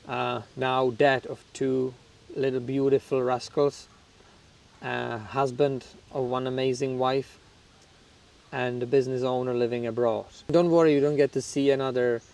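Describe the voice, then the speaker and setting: A man with a Czech accent, a native Czech speaker, hosting his own channel and talking frankly and reflectively to camera, with small grammar slips and a few soft laughs. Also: medium pitch, creaky. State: somewhat nervous on camera. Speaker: channel host. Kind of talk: candid talk to camera about recovery.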